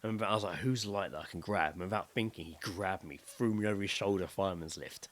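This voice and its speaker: A British man co-hosting a podcast, telling a story in casual chat with sweary, excitable delivery and acting out his own reactions. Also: low voice, fast talker. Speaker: podcast co-host.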